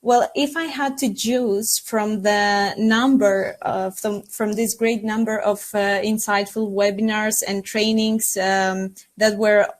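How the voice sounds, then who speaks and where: A woman speaks slowly as a podcast guest. She pauses often and fills the gaps with small hesitations and restarts. Her voice sits at an ordinary middle pitch. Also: fairly even intonation. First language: Greek. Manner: hesitant, many fillers and restarts. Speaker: podcast guest, former mentee. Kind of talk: unscripted podcast answer.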